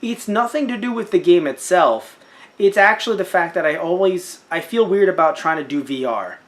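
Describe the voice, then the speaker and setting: Animated young man cohosting a podcast. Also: high-pitched, moderate pace. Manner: animated, jokey, puts on silly voices in quotes. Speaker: podcast co-host. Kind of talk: casual chat.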